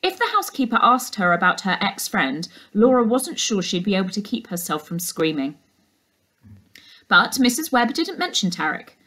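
A young woman narrates a storybook as a teacher would to a class. Her mid-pitched voice rises and falls widely, with plenty of expression. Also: sing-song, moderate pace, a bit bright. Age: young adult. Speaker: teacher reading aloud to a class. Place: indoors, living room.

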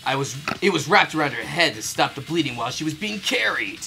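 Man acting an earnest, forceful boy detective. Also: fairly high pitch, expressive, a little fast, creaky, full character voice. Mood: explaining. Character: earnest teenage boy detective building an accusation. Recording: game music behind.

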